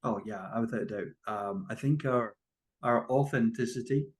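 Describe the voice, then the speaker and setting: A man's voice: medium pitch, normal speed. A man with a Scottish accent answering interview questions as a company founder, conversational and emphatic, leaning on key phrases. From Scotland. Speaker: guest, tech company founder and CEO.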